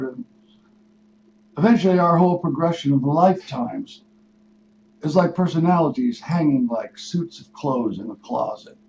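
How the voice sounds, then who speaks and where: An older man, in his sixties or beyond, hosting a radio show with a rambling spiritual monologue, musing and halting, then leaning into words for emphasis. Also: fairly high pitch, vocal fry, a little mellow. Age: 60s or older. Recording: dull lo-fi radio audio with noise.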